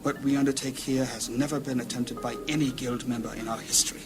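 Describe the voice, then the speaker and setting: An actor playing a grave, commanding archmage in a high fantasy audio drama, speaking formally and solemnly. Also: male voice, fairly even intonation, fast talker, lightly acted. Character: grave male archmage leading a council of wizards. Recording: loud orchestral music behind.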